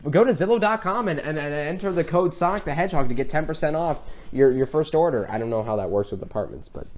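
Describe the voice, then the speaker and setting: A man hosting a casual review discussion, talking in a rambling, halting way that circles back mid-sentence. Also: a little high, lively intonation. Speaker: podcast host.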